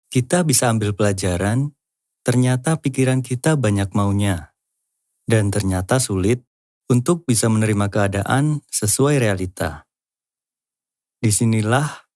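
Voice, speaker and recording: A man's voice: on the low side, a little mellow, no room sound, clean studio sound. A man hosting an explainer, reading scripted narration in an informal, lightly joking way with casual asides. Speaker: host of a lyric-analysis channel.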